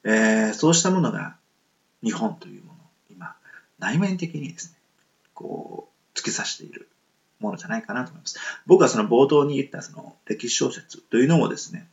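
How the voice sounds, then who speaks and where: Animated, gravelly man hosting a podcast. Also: mid-range voice, moderate pace. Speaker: solo podcast and radio show host. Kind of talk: casual reflective monologue to listeners. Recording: muffled lo-fi, soft guitar music behind. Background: plucked guitar music.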